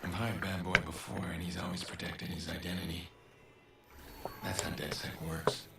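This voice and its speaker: A guarded vigilante hacker from a video game. The voice is deep, dry and suspicious, sounding distant and heavily echoed beneath loud music. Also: male voice, little pitch movement, moderate pace, gravelly. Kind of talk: video game cutscene acting.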